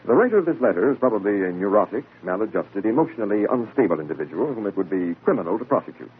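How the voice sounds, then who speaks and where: Pedantic, guarded radio-drama doctor, lower register, thin hissy old recording. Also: male voice, big pitch swings, racing, narrow, tinny audio. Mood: brusque, pedantic. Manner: pompous, clinical, hesitant when pressed. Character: evasive, self-important male psychiatrist, busy and pedantic. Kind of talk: 1940s radio acting.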